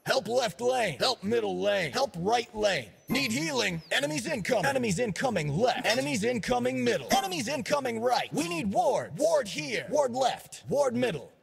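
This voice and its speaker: An actor playing a cocky, laid-back young dancer and DJ in a video game, with swaggering party slang and a gravelly voice. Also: male voice, very high voice, lively intonation, moderate pace, hardly any pauses, heavily acted. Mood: energetic, urgent. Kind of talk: video game character voice lines.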